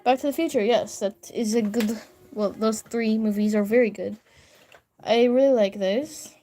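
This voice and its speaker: A woman's voice: mid-range voice, dark tone. A young woman chatting casually on a livestream at home, rambling, giggling and getting sidetracked while reading comments. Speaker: livestreaming artist. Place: home bedroom upstairs.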